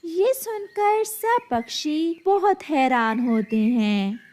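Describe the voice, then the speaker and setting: Slow, high-voiced woman storyteller. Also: lively intonation, darkish tone. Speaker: narrator. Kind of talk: scripted children's cartoon story narration.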